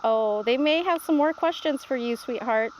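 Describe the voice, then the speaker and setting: A patient storybook grandmother, read aloud by a woman, reassuring and instructive. Her voice sits high, with faint noise behind it. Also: moderate pace, lightly acted.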